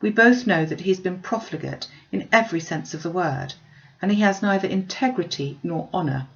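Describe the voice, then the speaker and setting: A woman reading the part of an earnest, candid young gentlewoman in a classic novel, emphatic and articulate. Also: fairly low pitch, fairly quick, creaky, dull audio. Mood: troubled, critical.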